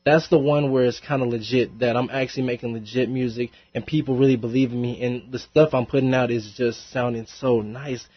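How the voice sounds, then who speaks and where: A young man talks through his music in a relaxed, rambling way, laughing easily between thoughts. His voice sits at an ordinary pitch. The studio sound is dry and a little dull. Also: a little fast, hardly any pauses, dry recording. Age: young adult. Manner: laid-back, laughs often, rambling with fillers. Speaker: rapper guest. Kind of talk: casual interview chat. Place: college radio studio.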